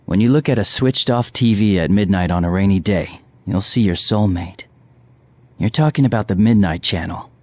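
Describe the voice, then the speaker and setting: Man playing a calm high school boy, dry sound. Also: moderate pace, no echo, close to an everyday voice. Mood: calm, attentive. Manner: calm, brief, understated. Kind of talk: video game voice acting.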